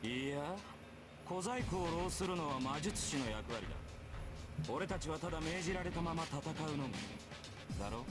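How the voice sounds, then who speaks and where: A man plays a cocky warrior spirit from a Japanese visual novel, battle-hungry and casually menacing. His talk is quick, rough and taunting, swinging widely in pitch, under loud game music. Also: expressive, natural voice.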